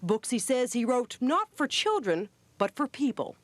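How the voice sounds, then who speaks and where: A woman's voice: fairly high pitch, big pitch swings, moderate pace, no echo. An American woman narrating a scripted television news report from the 1980s. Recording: 1980s archive TV news audio.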